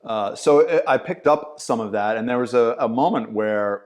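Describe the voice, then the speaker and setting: A man's voice: mid-range voice, normal speed. A middle-aged man, an acting teacher, chatting in a podcast interview and acting out what people said in vivid stories. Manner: animated storyteller, acts out quotes with swearing. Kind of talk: casual conversational interview.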